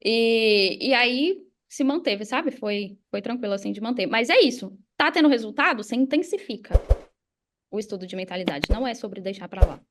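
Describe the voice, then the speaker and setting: A woman's voice: a little high, animated, normal speed, a bit bright. A Brazilian woman speaking as a podcast guest, explaining mindset in a casual, motivational and emphatic way. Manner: emphatic, repeats key phrases, uses examples and acted quotes. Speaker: podcast guest, affiliate marketer and mindset coach.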